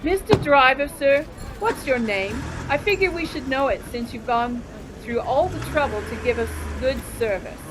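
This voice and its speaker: A woman's voice: high-pitched, expressive, moderate pace, noisy background. A woman playing a naive, chatty, nagging tourist in a 1940s-style radio comedy. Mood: curious, naive.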